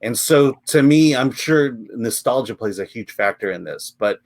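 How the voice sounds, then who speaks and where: A man's voice: medium pitch, moderate pace. A man hosting a film review show, talking casually with many fillers. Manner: relaxed, chatty, frequent fillers and laughs.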